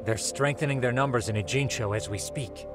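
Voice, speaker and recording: An actor plays a clever, easygoing male sidekick in a video game drama. His mid-pitched voice is polite and wry. Music is clearly heard underneath. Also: a little fast, natural voice. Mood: thoughtful. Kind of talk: English dub video game drama acting.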